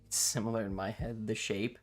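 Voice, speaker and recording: A man's voice: fairly low pitch, level tone, brisk, faint music behind. A man chatting casually on a livestream to his viewers, rambling and playfully dramatic. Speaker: live streamer.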